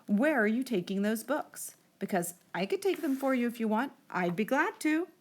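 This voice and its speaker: Frau spielt forsches Mädchen mit höherer Stimme. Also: female voice, fairly high pitch, lively intonation, moderate pace, a little mellow. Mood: breezy. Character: pushy, breezy young girl friend in a storybook.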